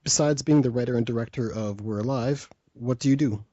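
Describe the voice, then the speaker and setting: A man's voice: mid-range voice, fairly quick, warm tone, no echo. A man interviewing a guest with casual, conversational questions. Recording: dull, dry lo-fi audio.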